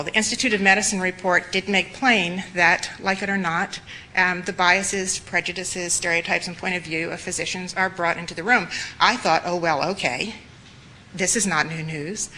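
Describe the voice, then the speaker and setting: A woman lecturing medical students, her voice bright and slightly hoarse from a cold. She speaks earnestly and reflectively, leaning on repetition for emphasis, with faint noise in the background. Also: on the low side, poor audio. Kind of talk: spoken lecture.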